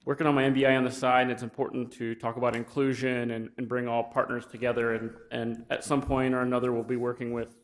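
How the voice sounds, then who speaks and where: Young guy pitching at a county meeting, pretty monotone, lots of uhs and restarts. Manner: plain, halting, many fillers and restarts. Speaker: wind energy business developer. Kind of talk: informal presentation at a public meeting. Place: county commission meeting room.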